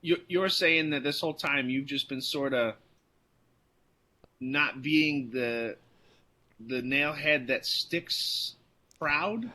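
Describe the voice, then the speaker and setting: Slow, bright-voiced male game player. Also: on the high side, low-quality recording. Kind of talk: casual chat.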